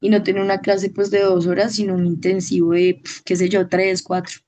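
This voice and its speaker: A woman's voice: medium pitch, flat delivery, brisk, dark tone. A woman, a teacher giving a testimonial in an interview. She speaks in a thoughtful, hesitant way, with restarts. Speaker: au pair program participant, teacher.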